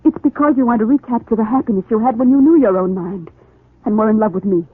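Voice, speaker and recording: Lovelorn woman, 1940s radio melodrama: husky, imploring, deliberate, on a tinny old recording. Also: a little high, no room sound. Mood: urgent, confronting. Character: lovelorn, insistent woman pleading with the man she loves. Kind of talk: 1940s radio soap opera acting.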